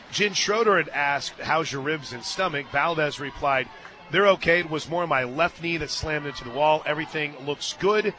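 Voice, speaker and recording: A man doing live radio play-by-play of a softball game, mixing commentary with casual banter from a booth at the ballpark. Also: a little high, slight background noise. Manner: bursts into excited shouts on big plays. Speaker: play-by-play sports radio announcer.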